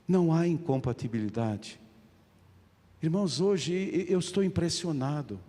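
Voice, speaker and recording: A middle-aged man preaches with a European Portuguese accent in a slightly high voice. He speaks straight to his listeners and drives points home through repetition. The sound carries the long reverb of a large church hall. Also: expressive, normal speed, dark tone. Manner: emphatic repetition, addressing listeners directly. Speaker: pastor preaching. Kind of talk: evangelical sermon.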